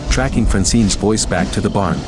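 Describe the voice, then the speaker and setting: A man's voice: fairly low pitch, moderate pace, background noise. A man narrating an action audio drama from a script.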